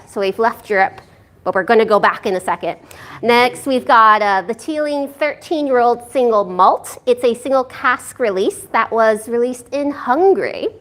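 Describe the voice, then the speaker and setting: A woman with an American accent co-hosting a whiskey tasting night, briskly reading the lineup from a clipboard. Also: on the high side. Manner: brisk, reads a list from a clipboard. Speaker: whiskey society co-host.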